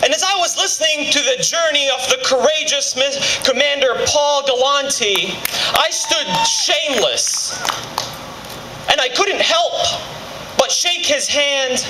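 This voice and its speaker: A man in his twenties from Kuwait giving an impassioned patriotic speech at a political rally, with emphatic phrasing and deliberate pauses. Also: very high voice, lively intonation. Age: 20s. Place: fairgrounds rally, speaking to a crowd. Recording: echoing, noisy outdoor PA recording.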